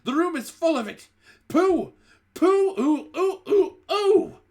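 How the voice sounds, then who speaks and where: Man putting on a pack of shrieking witches, storybook, very high and loud, disgusted. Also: animated, moderate pace. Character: crowd of disgusted screeching witches.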